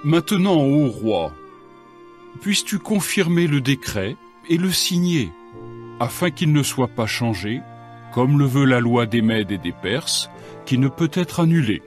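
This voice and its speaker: Man acting an animated, urging royal courtier. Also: mid-range voice, a little slow. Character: urging male courtier pressing the king. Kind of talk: dramatised scripture reading. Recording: background music.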